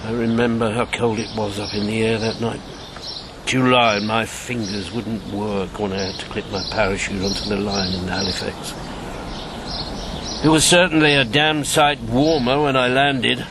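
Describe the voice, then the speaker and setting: An actor playing a bitter, haunted older former secret agent in a radio drama, wry and brooding. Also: male voice, fairly low pitch, moderate pace, noisy background, lightly acted.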